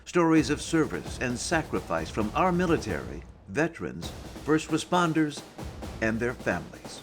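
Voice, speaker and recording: Brief: male show announcer, animated pitch, slow dramatic read, over clear music. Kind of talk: scripted show intro voice-over.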